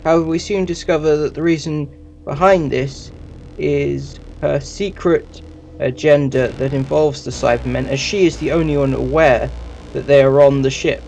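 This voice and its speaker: A man's voice: on the high side, fairly even intonation, normal speed, gravelly, a little mellow, slight background noise. A man hosting a review channel, reading a scripted review.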